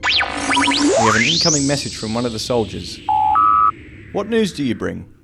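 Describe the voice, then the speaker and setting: A man's voice: mid-range voice, huge pitch swings, moderate pace, gravelly, over loud music. A man playing an anxious, indignant research base leader in a fan audio drama.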